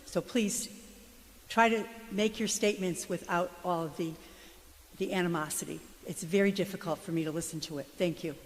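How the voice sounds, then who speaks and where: A board member calmly and firmly raising a point of order in a city meeting chamber. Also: medium pitch, moderate pace. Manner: polite but firm. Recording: public address audio in a reverberant hall.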